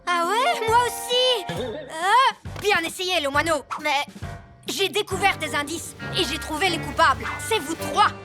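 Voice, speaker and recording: A woman's voice: extremely high pitch, lively intonation, unhurried, over music. A woman voicing a self-righteous, boastful, clumsy young vigilante hero in a French cartoon dub, with a pompous, accusing way of talking. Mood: smug accusing.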